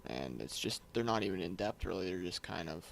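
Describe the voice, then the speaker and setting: A man's voice: deep voice, lively intonation, brisk, vocal fry. A man giving casual, unscripted video game commentary in a rambling, slangy, laid-back way. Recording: loud game music and vehicle noise behind. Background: game music and vehicles.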